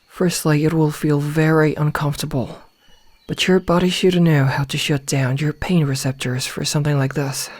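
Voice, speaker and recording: A woman playing a gentle, motherly werewolf in a soft ASMR roleplay, soothing and reassuring as she guides a newly turned packmate. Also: low voice, steady tone, normal speed, dry recording. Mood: calm, explaining.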